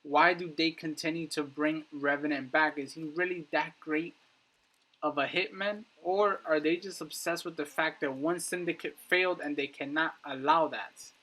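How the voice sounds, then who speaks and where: Guy doing casual game lore breakdown, kinda high sharp voice, talks right at viewers, really emphatic. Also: fairly even intonation, normal speed, poor audio. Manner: emphatic, addresses viewers directly. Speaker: YouTube gaming content creator.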